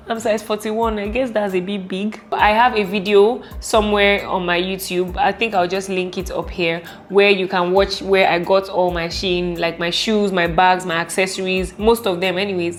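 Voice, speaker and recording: A woman in her late twenties with a Nigerian accent, chatting casually and playfully as a vlogger answering viewer questions, animated and exclamatory. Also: mid-range voice, moderate pace, soft background music. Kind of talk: casual Q&A vlog chat.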